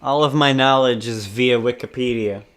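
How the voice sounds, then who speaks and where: A man's voice: medium pitch, normal speed, a bit bright, smooth. A man chatting casually about an album, joking and rambling, often trailing off mid-sentence. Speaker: podcast co-host.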